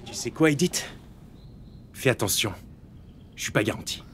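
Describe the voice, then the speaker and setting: A man's voice: mid-range voice, expressive, moderate pace, over music, close to an everyday voice. An actor dubbing a teasing man in his forties in a French film. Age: 40s.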